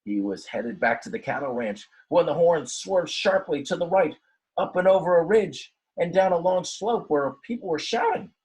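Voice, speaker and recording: A man reading a children's storybook aloud in a dramatic, expressive way, with simple explanatory asides for young listeners. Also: on the high side, normal speed, husky, poor audio. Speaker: teacher reading a picture book aloud.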